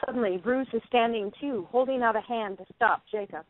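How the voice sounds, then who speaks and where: A woman's voice: fairly high pitch, brisk. Woman narrator on a tinny line. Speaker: narrator reading stage directions. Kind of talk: scripted narration. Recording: tinny narrowband phone-like line.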